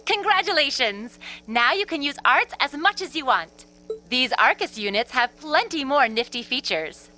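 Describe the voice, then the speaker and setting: A breezy female academy instructor from a video game. Her voice sits high and bright, with casual, teasing explanations that swing freely in pitch. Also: lively intonation, normal speed, soft background music, poor audio. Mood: breezy, upbeat. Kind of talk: English video game voice acting.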